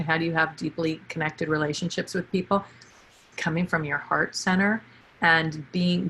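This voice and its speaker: Guest, woman, lower pitch, earnest and encouraging; faint noise behind. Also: a bit bright, low-quality recording. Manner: earnest and encouraging, speaks with conviction. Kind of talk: casual heartfelt chat.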